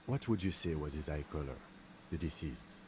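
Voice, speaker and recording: A man putting on a low voice for a detective asking a witness short questions. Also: lively intonation, fairly quick, background noise. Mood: gruff. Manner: clipped, questioning.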